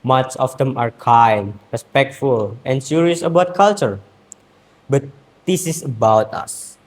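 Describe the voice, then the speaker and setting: A teenage student from Indonesia with an Indonesian accent, giving an earnest, rhetorical competition speech with emphatic pauses. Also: male voice, mid-range voice. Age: teens.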